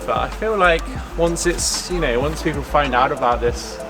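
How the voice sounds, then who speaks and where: A man's voice: on the high side, moderate pace. A man vlogging outdoors, chatting keenly to camera with a lot of filler words, music behind. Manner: chatty and enthusiastic, many fillers like "like". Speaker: climbing vlogger. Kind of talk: casual talk to camera. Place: outdoors beside a concrete wall.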